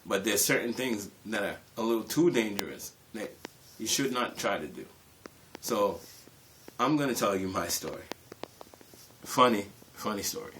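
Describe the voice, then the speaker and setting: A man casually telling a personal story to viewers, animated and acting out bits of dialogue, often repeating phrases for emphasis. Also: fairly low pitch, normal speed, a little hiss or hum, low-quality recording. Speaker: YouTube storyteller.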